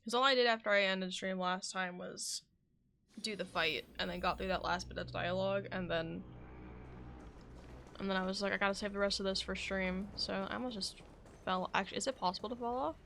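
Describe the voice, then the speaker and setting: A woman's voice: mid-range voice, steady tone, brisk, vocal fry, a bit bright, slight background noise. A woman game streamer chatting casually over gameplay, rambling and asking herself questions as she goes. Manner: rambling, self-questioning asides.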